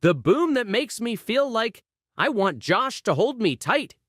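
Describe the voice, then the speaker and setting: A woman reads a children's story aloud with a lively, swooping delivery. She takes her time over the lines and performs them expressively. The sound is close and dry. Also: a little high, a little slow, no echo. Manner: expressive, acting out exclamations and sound words. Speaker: audiobook reader.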